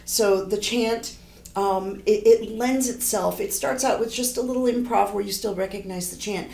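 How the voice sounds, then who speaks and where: A middle-aged woman, a horn player and composer, talking in a relaxed, thoughtful interview and often restarting her sentences. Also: normal speed, gravelly, roomy sound. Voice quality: low voice. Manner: thoughtful, rambling, frequent restarts.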